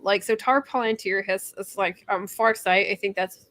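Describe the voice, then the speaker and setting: A woman's voice: mid-range voice, moderate pace, a bit bright. A woman hosting a casual book discussion in a chatty, informal and slightly tentative way. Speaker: discussion host.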